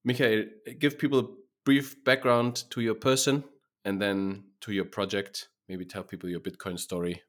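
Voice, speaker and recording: A man's voice: lowish voice, little pitch movement, normal speed. A man hosting a podcast, opening the show and introducing his guest with upbeat energy. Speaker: podcast host.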